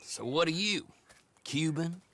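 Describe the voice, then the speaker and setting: An actor playing a cocky, eccentric horse fence in a Western game, with a taunting drawl and a habit of repeating himself. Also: male voice, a little high, unhurried, pushed and bright, slight background noise. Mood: needling.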